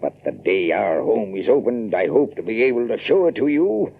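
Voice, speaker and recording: An actor playing an ambitious older colonial patriarch in an audio drama, with a gravelly voice. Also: male voice, on the low side, sing-song, moderate pace, heavily acted. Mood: proud, expectant. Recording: tinny band-limited recording, faint music.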